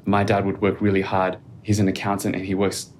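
A man speaking as a podcast guest in a calm, reflective, measured way. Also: low-pitched, slight background noise. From Australia. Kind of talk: casual interview conversation.

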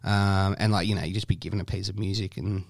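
Man, low-pitched, laid-back chat, laughs a lot, dry close sound. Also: fast, creaky, dry recording. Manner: relaxed, laughs often, frequent fillers. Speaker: guest musician. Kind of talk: casual podcast chat.

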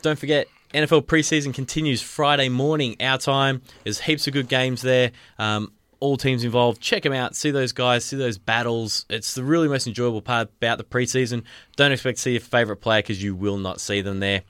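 An Australian man hosting a sports podcast in a studio, chatting casually with a relaxed, rambling delivery. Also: medium pitch, fairly quick, few pauses, clear, resonant tone, dry recording. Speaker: podcast host. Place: radio studio. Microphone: studio mic.

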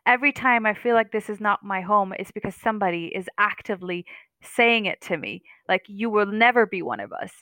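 A woman poet speaking in an interview, earnest and reflective, with quick restarts. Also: mid-range voice, animated, sharp tone, no echo. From Denmark. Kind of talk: conversational interview.

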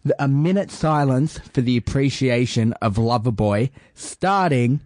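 A man playing a cocky, loudmouthed wrestling persona on a podcast, boasting and calling people son. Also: mid-range voice, a little slow, no room sound, clean studio sound, close to an everyday voice. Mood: boastful. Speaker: wrestler guest.

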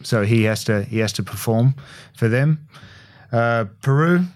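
A man in his forties with an Australian accent, a former footballer giving measured, plain-spoken opinions on a podcast. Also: mid-range voice, no room sound, clean studio sound. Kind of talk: casual sports punditry.

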